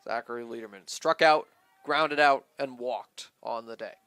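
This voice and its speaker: A man with a medium-pitched voice provides color commentary on TV baseball. He is conversational and analytical, speaking close into a headset mic with a dry sound. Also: moderate pace, sharp tone, clean tone, dry recording, faint music behind. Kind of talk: live TV sports analysis.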